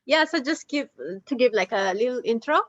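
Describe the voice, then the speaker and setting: A woman's voice: high-pitched, animated, moderate pace, a bit bright. A woman from Ethiopia introducing her directory project on a video call, explaining plainly with fillers. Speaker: webinar participant, website developer. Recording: dull lo-fi video call audio.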